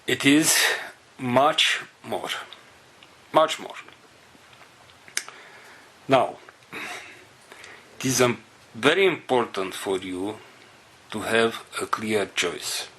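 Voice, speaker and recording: Slow, husky-voiced man speaking haltingly. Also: medium pitch, a bit bright. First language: Romanian. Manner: slow, halting phrasing in short fragments. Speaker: spiritual group leader. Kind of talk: unscripted spiritual talk.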